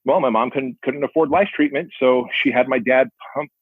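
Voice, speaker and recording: Man, 40s, native Armenian speaker, husky voice, earnest, tinny phone-like recording. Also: medium pitch, fairly quick, no echo. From Soviet Union, Armenian family. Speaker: podcast guest. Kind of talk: storytelling interview. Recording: dry tinny phone-like line.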